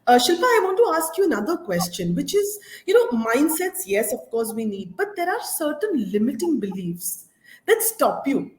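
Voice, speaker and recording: A woman's voice: high-pitched, big pitch swings, normal speed, slight background noise. A woman with an Indian accent hosting a conversational live interview. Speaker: interview host. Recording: roomy echo.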